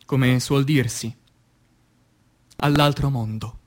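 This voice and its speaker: A man reciting poetry as a stage actor, in a dramatic, declamatory and solemn manner with long pauses. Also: medium pitch, fairly even intonation. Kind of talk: dramatic theatrical poetry recitation for radio theatre.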